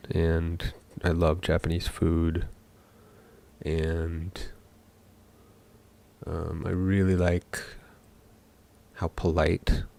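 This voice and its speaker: A young man from Seattle, a game developer talking casually while coding live, thinking aloud with many pauses. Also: low-pitched, vocal fry, warm tone. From Seattle, USA.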